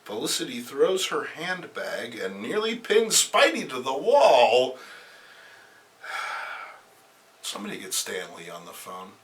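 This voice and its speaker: A man's voice: mid-range voice, huge pitch swings, slow, pauses often, fairly bright tone, distant mic. A man hosting a dramatic comic book reading, theatrical, with wry, sardonic asides.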